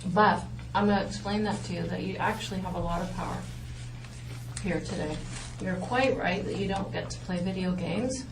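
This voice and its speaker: Calm woman interrogator in an echoey room. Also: fairly low pitch, normal speed, creaky. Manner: patient, steady, persuasive. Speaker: police interrogator. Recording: roomy, distant interview-room audio with noise.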